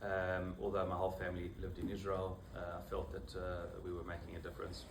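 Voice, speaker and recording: Male rabbi, 50s, South African accent, tired and halting delivery, distant mic with background noise. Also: sits low, completely flat delivery, roomy sound. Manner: halting, many fillers and restarts. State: low-energy, weary. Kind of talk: informal talk to a small group.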